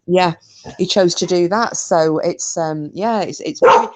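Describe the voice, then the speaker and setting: A woman's voice: fairly low pitch, measured pace, slight background noise. A woman co-hosting a podcast, chatting casually about a paranormal case, with lots of fillers and restarts. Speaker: podcast co-host.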